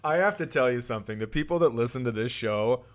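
A man's voice: mid-range voice, animated, quick pace. A man hosting a comedy podcast, bantering casually and telling self-deprecating, profane stories.

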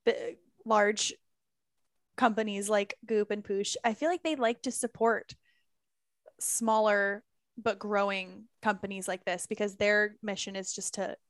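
A woman's voice: medium pitch, steady tone, a little slow, no echo, clean studio sound. A woman hosting a podcast, chatting casually and encouragingly with her guest in an informal way. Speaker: podcast host interviewer.

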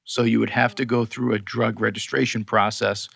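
Gravelly Boston-accented man explaining. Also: fairly low pitch, level tone, normal speed, a bit bright. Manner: explanatory, conversational, many "you know"s. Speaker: skincare company co-founder, guest. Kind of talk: casual interview talk.

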